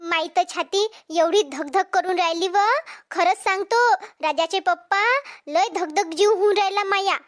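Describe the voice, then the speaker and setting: A woman's voice: extremely high pitch, moderate pace. A woman voicing a flustered, scolding village farm wife in a cartoon comedy, in Vidarbha Marathi dialect with a childlike voice. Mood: complaining. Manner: dramatic, complaining, repeats herself.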